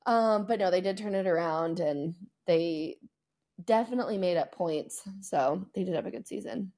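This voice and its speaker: Mellow-voiced woman chatting casually on a podcast. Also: fairly low pitch, normal speed. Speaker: podcast host. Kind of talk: casual sports podcast chat, season recap.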